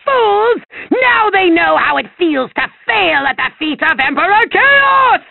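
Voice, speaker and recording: A man voicing a small, bombastic, petulant evil-genius villain for a video game, with a shrill, nasal, scratchy voice and pompous theatrical delivery. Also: big pitch swings, vocal fry, narrow, tinny audio, heavily acted. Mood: gloating, grandiose. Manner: pompous, theatrical, drawn-out boasts and sudden shouts.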